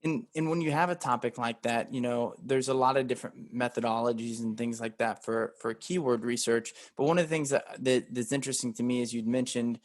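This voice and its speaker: A man's voice: mid-range voice, fairly even intonation, brisk, hardly any pauses, no room sound, studio quality. A man hosting a podcast, asking long, winding questions full of fillers like "um" and "you know.". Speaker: podcast host. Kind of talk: interview questions.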